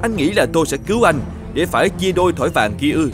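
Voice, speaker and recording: A man's voice: a little high, animated, fast talker, natural voice. Male cartoon villain, acted by a man, greedy, scheming, gloating, folktale dialogue, music behind. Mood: cold, gloating. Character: greedy, scheming, gloating fat treasure hunter.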